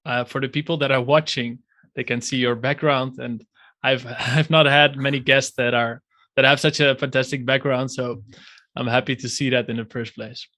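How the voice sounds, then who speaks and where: A man's voice: mid-range voice, steady tone, normal speed, pushed and bright. A man hosting a podcast, reading the intro and asking questions in a friendly, upbeat way. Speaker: podcast host.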